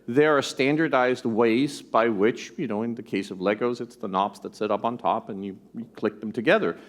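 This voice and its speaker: Animated Danish-born man with an American accent. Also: medium pitch, moderate pace. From Denmark. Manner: conversational and animated, with rhetorical "right?" asides. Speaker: tech company executive presenting. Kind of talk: conference keynote talk. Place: conference stage.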